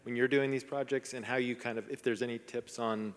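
A man's voice: medium pitch, fairly even intonation, a little fast. A man in the audience asking a question in a casual, somewhat hesitant and informal way. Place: large indoor session room. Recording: echoey hall, live session.

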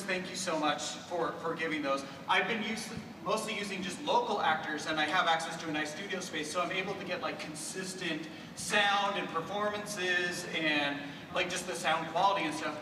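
A man asking a casual question from the audience in a hesitant, halting way. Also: high voice. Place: audience in a large room. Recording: distant, echoey noisy hall.

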